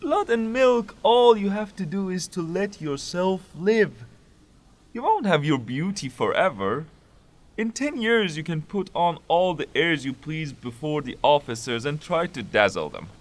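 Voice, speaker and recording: An actor playing a fussing, plain-spoken old widowed manservant in a theatrical audio drama, pleading and deferential. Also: male voice, a little high, sing-song, measured pace. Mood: coaxing, grumbling.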